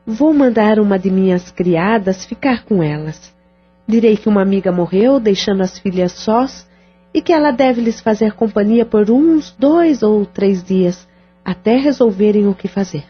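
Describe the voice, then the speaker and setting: An actress playing a caring friend who gently persuades and reassures the others. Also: female voice, medium pitch, expressive, measured pace, slightly rough. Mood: coaxing. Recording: dull lo-fi audio with faint music.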